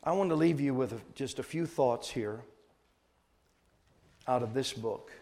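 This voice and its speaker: A man preaching a sermon as a missionary teacher, emphatic, repeating phrases for weight and swinging between hushed line endings and loud stresses. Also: mid-range voice, unhurried, in a large hall. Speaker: missionary preacher and Bible translation trainer.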